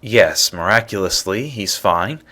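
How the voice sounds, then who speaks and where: A man's voice: fairly low pitch, animated, unhurried, pushed and bright, full character voice. A man playing a matter-of-fact space crew member in a video game, with clipped phrasing. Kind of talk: scripted video game dialogue.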